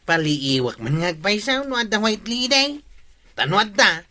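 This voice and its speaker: A man's voice: high voice, sing-song, moderate pace, bright, forceful tone, full character voice. A man with an Ilocano Filipino accent acting a boastful, doubting village captain in a comedy radio drama.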